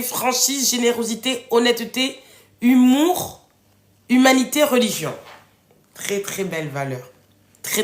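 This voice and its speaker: Casting: woman, high voice, stuffed up with a cold, encouraging and informal live workshop coach, roomy echoing sound. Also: a bit bright. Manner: informal, affectionate address to listeners, encouraging. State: congested, runny nose from a cold. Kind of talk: casual interactive coaching livestream, reading out participants' answers.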